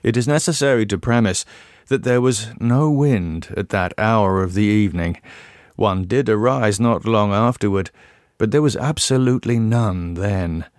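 A man reads a scripted horror tale. His voice is on the low side, and his delivery is measured and dramatic. The sound is close and dry, with little room. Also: no echo. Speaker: audiobook narrator.

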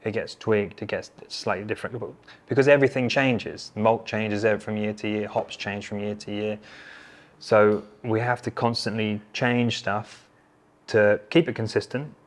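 Relaxed low-voiced brewer chatting. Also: male voice, moderate pace. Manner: relaxed, rambling, many restarts. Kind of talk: casual chat at a table. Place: brewery taproom, indoors.

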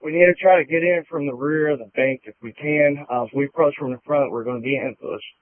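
A man's voice: fairly high pitch, fast, no echo. A male police officer giving urgent, terse, repeated commands over a police radio. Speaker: police officer at an emergency scene. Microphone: handheld police radio. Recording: tinny band-limited police radio.

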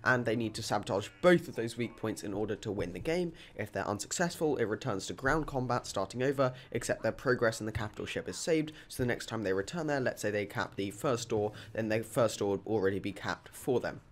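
A man with a sore throat giving a quick, upbeat voice-over guide to a video game. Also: on the low side, expressive. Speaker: gaming YouTuber.

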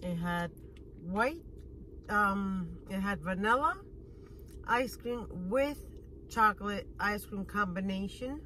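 A woman's voice: medium pitch, slow pace, long pauses, bright, forceful tone, a little hiss or hum. A woman in her thirties chatting casually while eating, filming a food review on her phone in a parked car. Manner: chatty, eating while talking, smacking sounds. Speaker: vlogger. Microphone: phone camera at arm's length.

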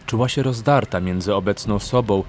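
Low-voiced male storyteller over music. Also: a little fast. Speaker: narrator. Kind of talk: scripted storybook narration. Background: background music.

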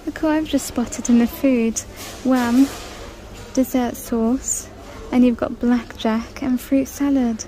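Female vlogger, flat-ish delivery, reading prices aloud while filming in a store. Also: fairly high pitch, takes it slowly, rough recording. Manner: chatty, reads out prices. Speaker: shopping vlogger. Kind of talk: casual shop-with-me narration. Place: large indoor discount store. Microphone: handheld phone camera.

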